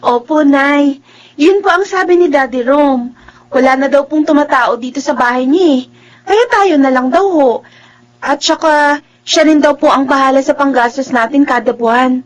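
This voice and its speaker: High-voiced earnest young Filipina student, acted by a woman. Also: normal speed, natural voice, rough recording. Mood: hopeful, respectful. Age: young adult. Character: earnest, principled young female student and devoted daughter. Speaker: radio drama actress. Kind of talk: Filipino radio drama acting.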